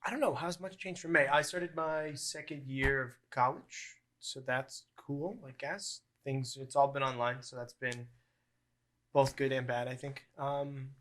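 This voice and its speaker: Bright-voiced man in his early twenties. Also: mid-range voice, measured pace. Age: early 20s. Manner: relaxed, rambling with many ums. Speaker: podcast co-host. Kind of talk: casual podcast chat.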